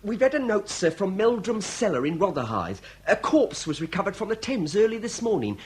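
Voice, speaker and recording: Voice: mid-range voice, very animated, a little fast, close to an everyday voice. A performer playing an earnest, deferential Victorian police assistant in a period radio drama.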